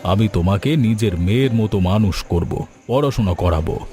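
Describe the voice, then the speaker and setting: A man playing a kindly, protective gentleman in a cartoon drama, speaking in an earnest, fatherly way. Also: fairly low pitch, moderate pace, over music, natural voice. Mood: earnest, reassuring. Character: kindly, protective middle-class gentleman who adopts a village girl. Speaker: cartoon character voice.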